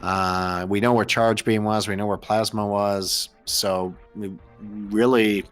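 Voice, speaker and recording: A man's voice: fairly low pitch, little pitch movement, slow, smooth, faint music behind. An American man commentating and interviewing on a live gaming race in a casual, chatty, jokey way. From United States. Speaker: host and commentator.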